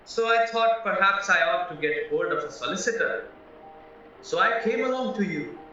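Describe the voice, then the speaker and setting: A naive, polite young husband acted by a man in an audio play, chatty and earnest as he explains himself. His voice is bright, sharp and lively, heard in a heavily echoing space with a distant mic. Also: high voice, moderate pace. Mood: anxious, earnest. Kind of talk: stage-style audio play acting. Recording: very echoey, distant, muffled, music behind.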